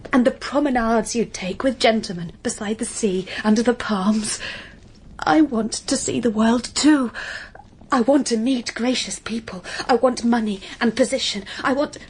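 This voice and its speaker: A woman's voice: on the high side, a little hiss or hum, natural voice. An actress playing an earnest, naive Victorian girl in an audio drama, with a pleading, emphatic way of talking. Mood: yearning. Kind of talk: period audio drama acting.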